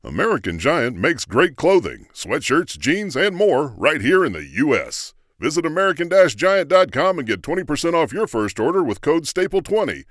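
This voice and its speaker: A man's voice: fairly high pitch, very animated, moderate pace, few pauses, fairly bright tone, dry recording, clean studio sound. A man reading a scripted advertisement.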